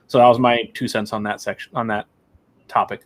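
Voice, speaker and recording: A man's voice: lowish voice, little pitch movement, fairly quick. A man on a video call explaining how he chooses words to study, thinking aloud through examples. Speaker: panelist, software coach. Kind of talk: casual explanation.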